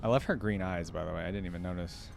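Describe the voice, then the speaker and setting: A man's voice: low-pitched, quick pace, creaky, background noise. Enthusiastic casual male reaction host. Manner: informal, enthusiastic, thinking aloud.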